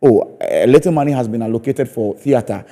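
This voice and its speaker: A man's voice: mid-range voice, a little fast, warm tone. A man with a Ghanaian accent speaking conversationally as a guest in a TV interview, often repeating phrases for emphasis. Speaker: theatre play maker and comedy performer, interview guest.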